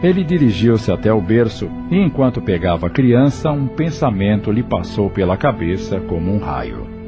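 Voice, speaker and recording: A man's voice: on the low side, big pitch swings, normal speed, over music. A man narrating an audio novel in a dramatic, scripted storytelling style.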